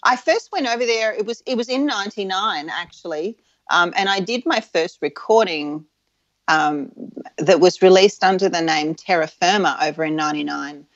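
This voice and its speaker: A woman in her forties from Adelaide, with a soft Australian accent that leans English, reminiscing frankly as an interview guest with many ums and restarts. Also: lowish voice, moderate pace, no echo, dull audio. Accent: soft Australian with an English tinge.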